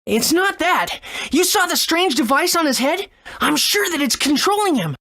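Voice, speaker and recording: Game hero kid, young boy, really high bouncy voice, earnest and excitable. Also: normal speed, exaggerated voice. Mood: puzzled. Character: earnest, eager young boy adventurer and inventor. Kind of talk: video game voice acting.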